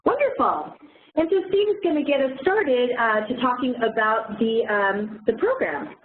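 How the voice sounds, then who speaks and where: Higher-voiced woman moderating, phone-like audio. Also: animated. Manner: halting, filler-heavy. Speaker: admissions staffer moderating an information session. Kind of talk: welcoming session introduction. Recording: phone-like lo-fi audio with faint noise.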